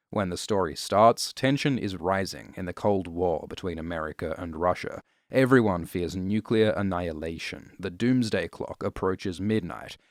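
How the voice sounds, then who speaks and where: A man narrating a scripted explainer in a dry, deadpan way, with casual asides. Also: lowish voice, dry recording. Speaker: host and narrator of explainer videos. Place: close, dry voice-over recording.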